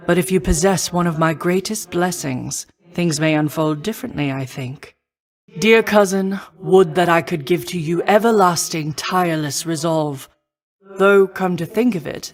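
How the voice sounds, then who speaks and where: A woman playing a noble, protective war goddess in a video game, speaking in formal, measured, old-fashioned phrasing. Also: lowish voice, lightly acted. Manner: formal, measured, archaic stately diction. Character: noble female war goddess, poised, protective, confident mentor. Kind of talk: scripted video game voice acting.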